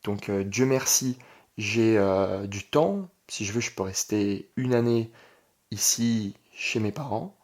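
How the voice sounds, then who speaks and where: A man's voice: fairly low pitch, slow pace, darkish tone. Young Frenchman talking off the cuff, emphatic. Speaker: online entrepreneur and video creator. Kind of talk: casual vlog monologue.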